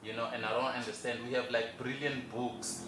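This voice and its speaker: Young South African man, earnest halting co-host, echoey room, light noise. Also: medium pitch, little pitch movement. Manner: earnest, halting, with many restarts. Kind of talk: casual chat.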